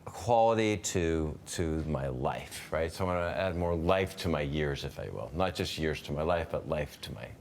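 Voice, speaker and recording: A man's voice: a bass voice, expressive, moderate pace, few pauses, a little hiss or hum. A man in his fifties hosting a webinar over a video call, thinking aloud with restarts and asking questions. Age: 50s. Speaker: webinar host. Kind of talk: conversational interview.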